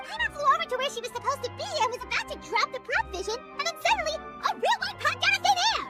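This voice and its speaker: A woman voicing a tiny, excitable, childlike flying fairy companion in a video game, with a squeaky voice and bubbly, exaggerated delivery. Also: fast talker, loud music behind the voice. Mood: excited. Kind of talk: scripted video game voice acting.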